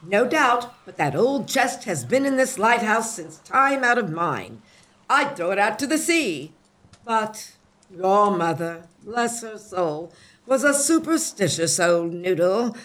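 An actress playing a cantankerous older aunt who scolds brusquely in a gothic comedy audio drama. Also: female voice, mid-range voice, very animated, slow pace, fairly bright tone. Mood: stern.

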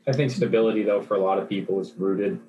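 A man's voice: sits low, fairly even intonation, fast talker, warm tone, lo-fi sound, slight background noise. A man in his twenties talking in a rambling casual discussion, with many fillers and restarts. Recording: roomy echo.

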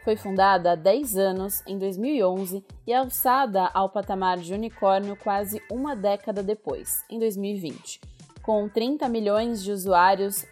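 A woman reads a scripted news feature in a mid-range voice. Her delivery is measured, and she turns now and then to talk straight to the listener. Soft music runs faintly under her. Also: mid-range voice. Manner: measured, addresses the listener directly. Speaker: radio reporter and narrator.

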